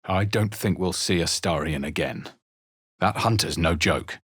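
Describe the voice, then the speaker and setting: A wary spellcasting adventurer from a fantasy game. His voice is low and husky, recorded very dry. He speaks tersely and dramatically, with a wry, suspicious edge. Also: a little slow, a bit bright, no room sound, full character voice. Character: guarded adventurer hero, wry, suspicious, casts Latin spells. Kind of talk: video game character voice lines.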